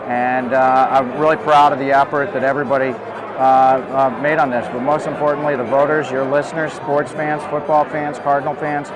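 A man's voice: mid-range voice, flat delivery, normal speed, crowd noise. A man giving an on-the-spot radio interview as a campaign spokesman, into a handheld microphone in a hall. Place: busy indoor hall at an election-night gathering. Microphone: handheld reporter's microphone. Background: loud music.

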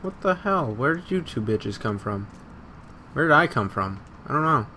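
A man's voice: medium pitch, sing-song, moderate pace, pushed and bright, slight background noise. A man giving casual gameplay commentary, muttering to himself, repeating phrases and swearing. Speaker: gamer recording a let's play.

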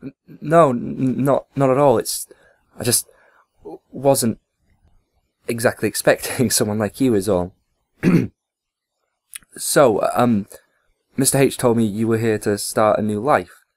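A man's voice: mid-range voice, a little slow, long pauses, a little mellow, close to an everyday voice. A man playing a wary, awkward, antisocial young man in an audio drama, hesitant when flustered.

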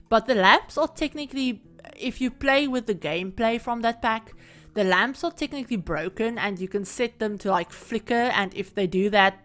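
Woman with a bit of a sick croak, chatty and rambly, narrating her game build, lots of filler words. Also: mid-range voice, a bit bright. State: croaky from illness. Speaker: Sims build creator. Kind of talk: casual voiceover over gameplay.

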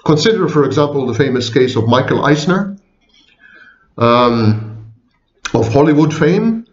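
A man's voice: a little high, lively intonation, measured pace. A man of sixty, a psychology professor, giving a wry, digressive informal lecture to viewers, often repeating and correcting himself. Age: 60s. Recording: echoey room, dull lo-fi sound.

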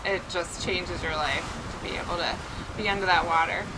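A woman's voice: on the low side, fairly even intonation, moderate pace, noisy background. A woman co-hosting a sailing vlog, chiming in with upbeat agreement and calling things worth it. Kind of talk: casual chat. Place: aboard a sailboat.

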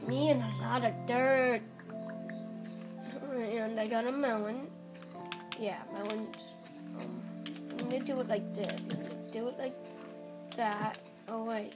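A woman's voice: on the high side, a little slow, pauses often. A young female player rambling through casual Minecraft commentary, squealing at small wins, recorded on a phone aimed at a TV. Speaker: Minecraft player filming her screen. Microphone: phone camera. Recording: phone camera aimed at a TV, music in background.

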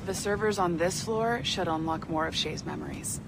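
An actress playing a composed, solemn corporate supervisor in a video game, formal and measured. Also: female voice. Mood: businesslike. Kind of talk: video game cutscene acting. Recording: clear rumbling background noise.

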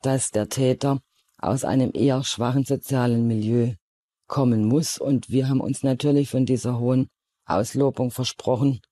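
A man gives a measured interview as an official in a documentary. His voice is mid-pitched and soft-edged, recorded with very little room sound. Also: fairly even intonation, no room sound.

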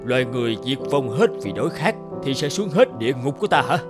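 Guy doing a scheming underworld god for a cartoon, lively pitch swings, loud music behind. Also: fast talker, close to an everyday voice. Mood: gloating. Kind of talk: cartoon fairy tale dubbing.

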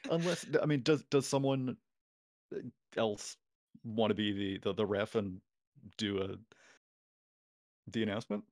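A man's voice: fairly low pitch, fairly even intonation, unhurried, pauses often, a little mellow, dry recording, studio quality. A man acting as game master of a tabletop game, talking with lots of fillers and restarts. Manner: hesitant, many fillers and restarts. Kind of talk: running a tabletop game session.

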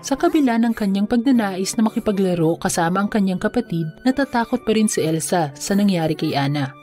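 A woman narrates a children's fairy tale with a mellow, soft-edged tone. Her pitch sits in the middle, and music plays behind her. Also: animated, a little fast. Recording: music under the voice. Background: background music.

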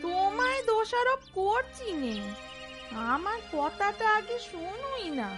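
A woman's voice: very high voice, sing-song, a little slow, exaggerated voice. A woman playing a lonely, coaxing village housewife in a cartoon, with rustic speech and a pleading manner. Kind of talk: cartoon voice acting, rustic dialogue. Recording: music behind the voice.

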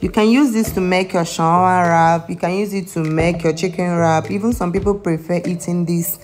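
A woman's voice: fairly low pitch, fairly even intonation, moderate pace, a little hiss or hum. A young Nigerian woman with a Nigerian accent, hosting a home cooking channel and walking viewers through a recipe step by step in a friendly way. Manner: friendly step-by-step instruction with some non-standard phrasing. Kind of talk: voice-over cooking tutorial. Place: home kitchen. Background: soft background music.

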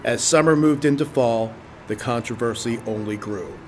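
A man narrating a news documentary in a steady, even reporting voice. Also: medium pitch, moderate pace, a little hiss or hum.

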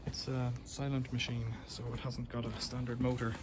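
A man from the UK rambles casually as he films on a handheld phone out in a back alley. His voice sits at an ordinary middle pitch. Loud outdoor noise and passing traffic run under everything he says. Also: flat delivery, normal speed. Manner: rambling, chatty, matter-of-fact. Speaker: vlogger. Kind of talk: casual walk-and-talk commentary. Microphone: handheld phone camera.

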